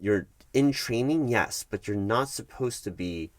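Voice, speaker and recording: Low-voiced man as a measured HR manager. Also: lively intonation, no room sound. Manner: measured, hesitant, formal office phrasing. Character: polite, evasive corporate HR recruiting manager. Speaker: roleplay performer as HR manager. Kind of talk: soft-spoken ASMR roleplay, one-sided workplace meeting.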